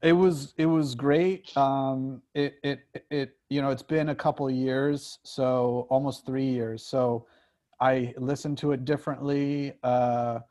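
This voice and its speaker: A man's voice: mid-range voice, steady tone, unhurried, mellow. A screenwriter, a man, reflecting casually and a little hesitantly as he takes notes on his work.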